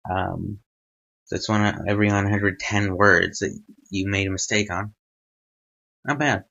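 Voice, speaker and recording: A man's voice: fairly low pitch, steady tone, measured pace, vocal fry, sharp tone, muffled recording. A man giving an informal spoken review as a host, rambling and hesitant as he thinks aloud. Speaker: host of a fanfiction reading series.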